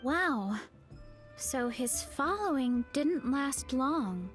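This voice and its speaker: A woman's voice: high voice, expressive, extremely slow, exaggerated voice. A woman voicing an easygoing young farm girl adventurer in a video game, casual and thinking aloud. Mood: thoughtful. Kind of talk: scripted video game voice acting. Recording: music behind.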